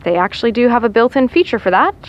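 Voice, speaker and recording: A woman's voice: on the high side, fast. An actress playing a dry, teasing young woman calling a friend on the phone. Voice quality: wry, deadpan teasing. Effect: phone call. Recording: muffled phone-line sound.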